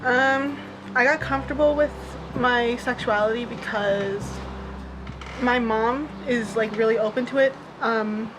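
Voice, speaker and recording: Chatty nineteen-year-old woman, slightly high. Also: rough recording. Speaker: interviewee. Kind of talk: casual interview answers. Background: background music.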